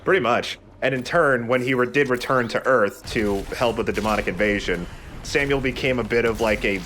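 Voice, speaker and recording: A man's voice: mid-range voice, a little fast, fairly bright tone, slight background noise. A man giving casual, rambling explanations as he hosts a let's play of a video game. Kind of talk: casual gameplay commentary. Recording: game music behind the voice. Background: video game music.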